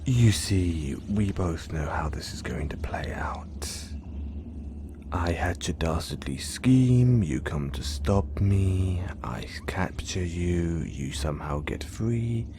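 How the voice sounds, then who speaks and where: A man voicing an obsessive, menacing male supervillain in an audio roleplay, speaking in a slow, hushed, gloating whisper. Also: low-pitched, animated, background noise, exaggerated voice. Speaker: voice actor.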